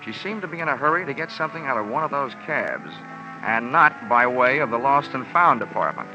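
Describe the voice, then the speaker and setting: An actor playing a sardonic, hardboiled American insurance investigator in an old-time radio noir, narrating and wisecracking. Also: male voice, medium pitch, lively intonation, brisk, music in the background, close to an everyday voice. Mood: wry narrating. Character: wry, hardboiled male insurance investigator narrating his case. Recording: dull old radio recording.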